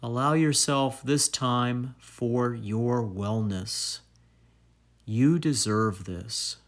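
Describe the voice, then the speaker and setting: Very slow, calm man guiding meditation. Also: medium pitch. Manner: calm, soothing, deliberate with long pauses. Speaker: meditation guide and fitness trainer. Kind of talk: guided meditation narration.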